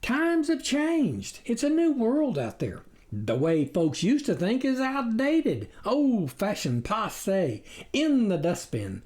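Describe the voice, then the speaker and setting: A sly storybook wolf played by a narrator posing as a reformed soul. The delivery is persuasive and indignant, with a preachy streak. The pitch sits in a middle register and swings widely. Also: wildly swooping pitch, slow pace, darkish tone. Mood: insistent. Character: sly, smooth-talking wolf-like stranger posing as vegan.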